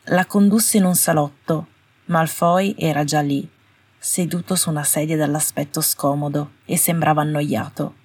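A woman's voice: low voice, steady tone, normal speed, darkish tone, breathy edge. A woman reading a scripted story as an audiobook narrator. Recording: roomy, slightly distant home recording.

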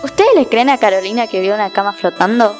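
A woman's voice: mid-range voice, fast talker, music in the background, lightly acted. A woman playing an earnest schoolchild shaken by spooky happenings in a radio play. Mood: curious.

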